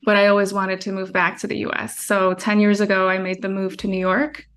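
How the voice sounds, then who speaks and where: A woman's voice: medium pitch, completely flat delivery, fairly quick, fairly bright tone, clear, resonant tone. A woman, a documentary filmmaker answering interview questions in a chatty way, often restarting sentences. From France. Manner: chatty, many fillers and restarts, laughs easily.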